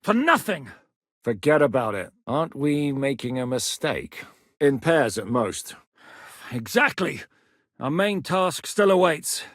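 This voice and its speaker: A coarse, swearing middle-aged warlord. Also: male voice, on the high side, very animated, a little slow, a bit bright, no room sound, studio quality, heavily acted. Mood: commanding. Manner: blunt and coarse, swears freely. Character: crude, earthy middle-aged male warlord. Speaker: game character voice. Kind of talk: recorded video game voice lines.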